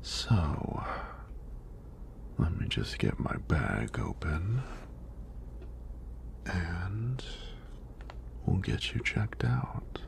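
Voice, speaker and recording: A man acting as a hunky, gentle EMT in an ASMR roleplay. His low voice is heavily fried and drops into a breathy near-whisper. He takes his time, very slowly reassuring and softly flirting. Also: wildly swooping pitch, heavily acted. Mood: soothing, attentive. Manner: slow, soothing, reassuring, praising. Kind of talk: soft-spoken ASMR roleplay.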